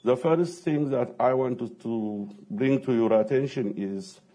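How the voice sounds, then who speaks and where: A middle-aged man from Ethiopia with an Ethiopian accent, speaking on a panel to an audience, deliberate and given to asking himself questions. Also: medium pitch, dark tone. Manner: deliberate, repeats words and asks himself questions. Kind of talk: academic panel talk to an audience. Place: lecture room with audience.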